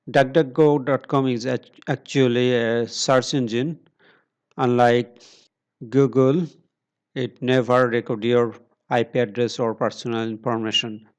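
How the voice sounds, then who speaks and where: Slow, steady man walking through a tutorial. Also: medium pitch. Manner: slow, step-by-step instructions with pauses and fillers. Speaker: tutorial presenter. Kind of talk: screen-recorded tech tutorial.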